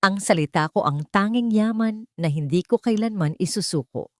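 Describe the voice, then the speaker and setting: A woman voicing a calm, firm male millionaire bent on revenge, speaking with deliberate weight in an audio story. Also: mid-range voice, dry recording, clean studio sound, natural voice. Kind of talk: dramatic audio story dialogue.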